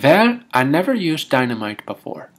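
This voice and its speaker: A man playing a folksy, rambling Minnesotan husband with a Scandinavian-tinged Minnesota accent, telling comic tall tales in halting, homespun grammar. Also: on the high side, big pitch swings. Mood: bewildered. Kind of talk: comic tall-tale storytelling.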